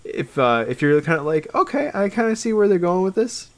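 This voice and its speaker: A man's voice: high-pitched, big pitch swings, a little fast. A man in casual, opinionated chat as a podcast co-host, explaining his points in qualified fragments. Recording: noticeable background noise.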